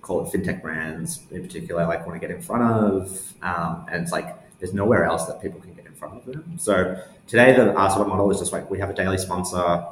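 A young man answering interview questions casually, haltingly thinking aloud as he goes. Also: low-pitched. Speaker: interview guest, newsletter founder. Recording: roomy echo, faint background noise.

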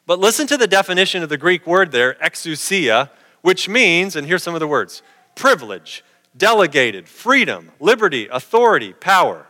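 A man's voice: high voice, big pitch swings, moderate pace, sharp tone, big-room sound. A middle-aged man preaching a sermon in a conversational way, posing questions to his listeners.

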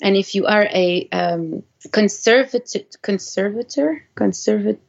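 A woman's voice: mid-range voice, a little slow, gravelly. Female interview guest, native French speaker, conversational explainer, mid-range voice, muffled lo-fi audio. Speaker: interview guest, activist technologist.

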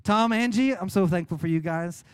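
A man's voice: high voice, moderate pace, clear, resonant tone, dry recording. A male pastor preaching a sermon in an earnest, explanatory way. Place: church.

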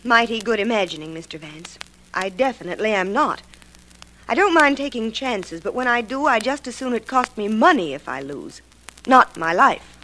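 Brassy, bright-voiced Broadway star. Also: female voice, fairly high pitch, expressive, normal speed, rough recording. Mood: brisk, wisecracking. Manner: slangy, flippant, direct. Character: brassy, wisecracking female Broadway stage star. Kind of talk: old-time radio drama acting. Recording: old radio recording with faint noise.

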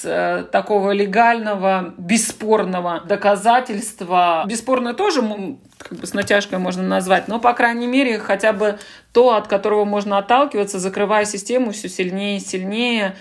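A woman speaking as a guest in a spontaneous podcast discussion, giving winding explanations with hesitant phrasing. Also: medium pitch.

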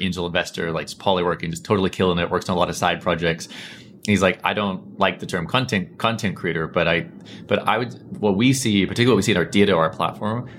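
A man's voice: sits low, fairly bright tone, slight background noise. A Northern Irish man hosting a podcast, rambling casually with many fillers and restarts. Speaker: podcast host.

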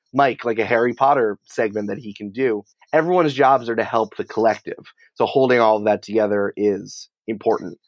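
A man's voice: lowish voice, no echo, lo-fi sound. A man speaking as an interview guest in a casual, chatty, explanatory way, often dropping in "like". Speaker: interview guest, podcast company creative head.

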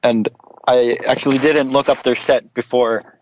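A man's voice: medium pitch, fairly even intonation, normal speed, dull audio, a little hiss or hum. A man talking casually as a podcast guest, rambling with many fillers.

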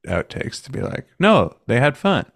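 Podcast co-host, man, gravelly voice, dry close recording, wry. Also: medium pitch, sing-song, moderate pace, no room sound. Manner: wry, joking. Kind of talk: casual chat.

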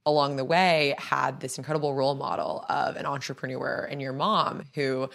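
Very low-pitched, creaky young woman hosting. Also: moderate pace, fairly bright tone. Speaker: podcast host. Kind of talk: scripted introduction and interview questions.

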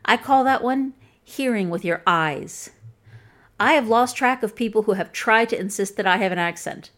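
A woman's voice: medium pitch, moderate pace, fairly bright tone. Wry woman with a Chicago accent. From Chicago, USA. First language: English. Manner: wry, pointed and dryly humorous. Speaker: video essayist. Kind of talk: scripted commentary.

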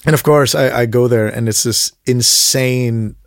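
A man in his thirties who grew up in India talks casually as a podcast guest, his voice gravelly with fry. He stumbles through many restarts and fillers, then livens up to act out the people he quotes. The sound is dry and close. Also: medium pitch, a little slow, dry recording. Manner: hesitant, lots of stammered restarts and fillers; animated, acts out quoted voices, laughs. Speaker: podcast guest, creative director. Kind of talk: casual interview storytelling.

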